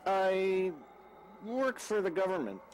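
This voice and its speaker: A man's voice: sits high, expressive, takes it slowly, a little mellow, low-quality recording. A man playing a nervous, sheepish lover caught out, hesitant and evasive, in an audio drama. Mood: defensive, nervous. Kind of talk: radio-play style dramatic acting. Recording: faint background noise.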